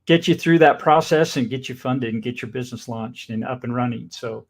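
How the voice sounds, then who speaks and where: A man's voice: mid-range voice, fairly quick. Upbeat male talk show host. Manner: upbeat, friendly, enthusiastic interjections. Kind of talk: casual interview hosting.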